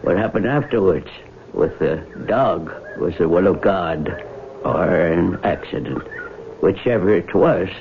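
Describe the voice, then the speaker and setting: Male, lowish voice; measured, fatherly rural lawyer for vintage radio play, slightly noisy, muffled recording. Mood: reassuring. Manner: measured, reassuring. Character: calm, reassuring country lawyer, fatherly. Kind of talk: 1970s radio drama acting. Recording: muffled old radio recording, faint noise.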